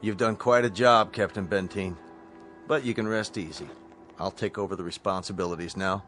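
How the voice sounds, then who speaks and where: An actor playing a courteous, businesslike colonel in command of a rescue spaceship, measured and official, in a radio drama. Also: male voice, sits low, a bit bright. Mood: polite, firm. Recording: radio drama with faint music behind.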